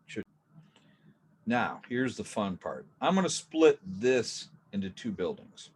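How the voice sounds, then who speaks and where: Chatty, relaxed male art teacher. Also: on the high side, vocal fry, a little hiss or hum. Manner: relaxed, chatty, jokey asides. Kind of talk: casual drawing lesson.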